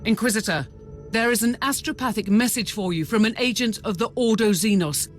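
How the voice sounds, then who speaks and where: An actor playing a dutiful ship officer in a video game, reporting formally and deferentially to a superior. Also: male voice, high-pitched, fairly quick, a bit bright, faint music behind. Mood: urgent, dutiful. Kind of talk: video game cutscene acting.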